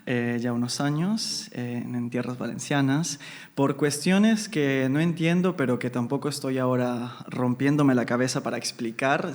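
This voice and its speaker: Guy from italy chatting as a podcast guest, thoughtful and unhurried, laughs a lot. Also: mid-range voice, darkish tone. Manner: reflective, measured, laughs often. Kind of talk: casual conversation.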